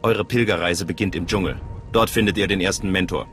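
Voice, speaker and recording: An actor playing a stern, sceptical gatekeeper of a cult in a video game, measured and authoritative. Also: male voice, sits low, a bit bright, soft background music. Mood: stern, instructing. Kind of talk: video game voice acting in German. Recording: faint outdoor ambience behind.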